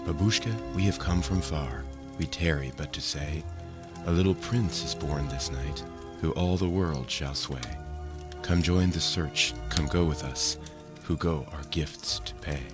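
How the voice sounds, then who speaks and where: A kindly ancient wise man on a long journey, as a man reads him, very low in pitch. The delivery is formal, archaic storybook verse, inviting and solemn, set over music. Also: a little slow.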